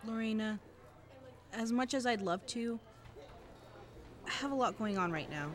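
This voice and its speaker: A woman's voice: a little high, animated, a little slow, crowd noise. A woman acting as an anxious, guarded teenage student in a fiction podcast, awkward and dryly evasive. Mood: apologetic, awkward. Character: anxious teenage student girl who records cassettes, guarded. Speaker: voice actor.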